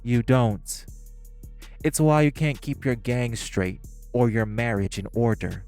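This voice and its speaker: Man voicing magic crime bosses, sneering, profane, confrontational, mid-pitched, audio roleplay, faint music. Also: fairly even intonation, slow, darkish tone. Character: hostile male crime bosses, magic gangsters.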